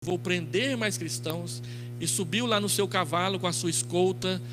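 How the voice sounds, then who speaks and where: A man's voice: high-pitched, moderate pace. A middle-aged man with a Brazilian accent preaching an expository sermon into a handheld microphone, telling stories with quoted dialogue and rhetorical questions. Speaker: pastor. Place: church stage, indoors. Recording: clearly noisy with mains hum.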